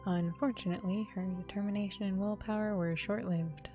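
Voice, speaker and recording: A woman game streamer with an informal, expressive manner, commenting casually and reading a visual novel's narration aloud. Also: medium pitch, fairly quick. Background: game music.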